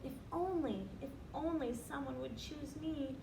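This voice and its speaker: Woman voicing a plaintive, high-pitched sad little cookie. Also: a little slow, creaky. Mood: wistful, envious. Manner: plaintive, wistful sing-song lament. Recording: roomy, distant, noisy recording.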